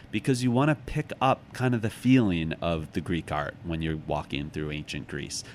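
Low-voiced American man, noisy background. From Chicago, United States. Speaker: author and podcast guest. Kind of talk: casual interview conversation, anecdotes and reflective advice.

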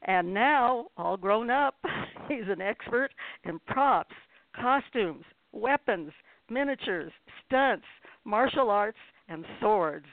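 Talk radio host: woman, conversational and deliberate, medium-pitched, thin phone-line sound. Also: lively intonation, dry recording. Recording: phone-like narrow-band audio.

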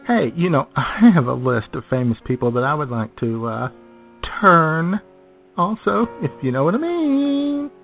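A man's voice: on the high side, very animated, measured pace, gravelly, full character voice. A man playing a gushing, flirty celebrity interviewer in a comic radio sketch. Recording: dull lo-fi radio audio with music behind.

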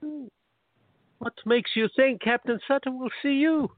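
A man's voice: extremely high pitch, measured pace, dry recording. A man playing a crafty, wary old settler in a radio play, with a hesitant, haggling manner. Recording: dry, tinny phone-like line.

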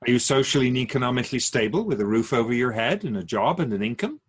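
A man playing an otherworldly, patient keeper of souls in a radio drama, asking measured, probing questions in a husky voice. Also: mid-range voice, lively intonation.

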